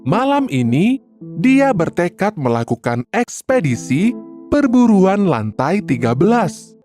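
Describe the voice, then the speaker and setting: A storyteller narrating a scripted children's tale in an expressive, deliberate manner. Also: medium pitch, soft background music.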